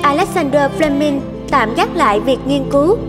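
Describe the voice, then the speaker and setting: High-voiced woman narrator over music. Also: animated, normal speed. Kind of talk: scripted storybook narration for a cartoon. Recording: background music under the voice.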